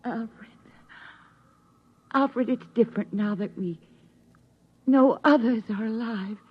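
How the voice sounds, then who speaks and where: An actress playing a gentle, timid mother with a breathy, faltering voice in a 1950s radio drama. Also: a little high, takes it slowly. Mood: tender. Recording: dull old radio broadcast recording.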